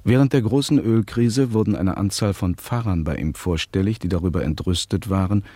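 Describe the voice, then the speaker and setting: A man playing a formal, measured chronicler, narrating a scripted radio play with rhetorical emphasis. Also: sits low, mellow, dry recording. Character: formal, deliberate male chronicler of a shipwreck.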